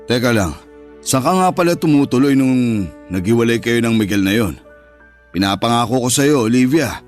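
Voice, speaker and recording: An actor playing a jealous, controlling, moneyed boyfriend with a stern, commanding manner in a Tagalog radio drama. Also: male voice, medium pitch, animated, normal speed, faint music behind, natural voice. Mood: suspicious, threatening.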